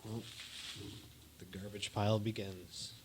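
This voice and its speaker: A man co-hosting a casual stream, chatting in a relaxed, halting way with frequent restarts. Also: fairly low pitch, a little hiss or hum. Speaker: co-host opening card packs. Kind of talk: casual chat.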